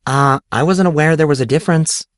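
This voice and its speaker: A man's voice: fairly high pitch, brisk, no room sound, clean studio sound, pitched above the performer's own voice, natural voice. A man voicing a teasing, dramatic, boy-crazy teenage girl in an audiobook story.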